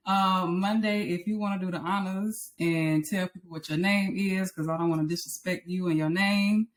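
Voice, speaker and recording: A woman's voice: on the low side, fairly even intonation, moderate pace. A woman hosting a casual interview, chatty and welcoming as she talks. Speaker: interview host.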